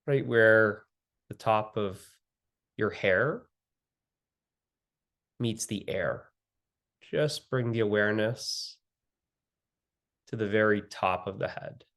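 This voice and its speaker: Very slow, low-voiced man guiding meditation. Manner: gentle, soothing, with long pauses. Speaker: meditation guide. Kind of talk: guided meditation.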